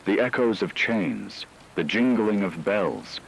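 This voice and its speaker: A man's voice: on the low side, animated, slow, creaky, slight background noise, full character voice, low-quality recording. A man playing a solemn radio newscaster, narrating formally and ominously in a scripted radio drama. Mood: grave, ominous. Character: solemn male radio newscaster reporting supernatural terror. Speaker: radio news host.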